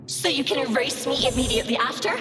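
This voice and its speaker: A woman's voice: high-pitched, quick pace. A woman voicing a seductive, defiant female artificial intelligence in a video game, speaking in a sultry, theatrical, taunting way. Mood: taunting, sly. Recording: echoey long reverb, loud music behind. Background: loud game music.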